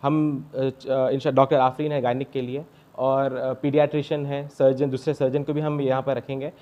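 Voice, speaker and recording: A young man, a doctor answering interview questions haltingly, with broken starts and English medical terms. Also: medium pitch, level tone. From India. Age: young adult. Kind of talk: interview answers at a health camp. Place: small clinic room, indoors. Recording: dull lo-fi sound.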